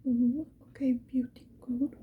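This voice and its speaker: A woman's voice: high-pitched, level tone, a little slow, phone-quality sound. Whispering woman, casual ASMR chat. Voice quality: mostly whispered, breathy. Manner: casual, chatty, talking to the viewer. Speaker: ASMR video creator. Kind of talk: ASMR makeup get-ready-with-me chat.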